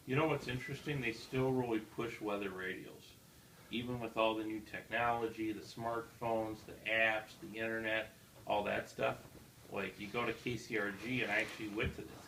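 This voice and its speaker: A man's voice: on the low side, fairly even intonation, moderate pace, bright, forceful tone. An American man co-hosting in casual chat, telling stories in a wry, mock-solemn way. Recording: roomy echo, background noise.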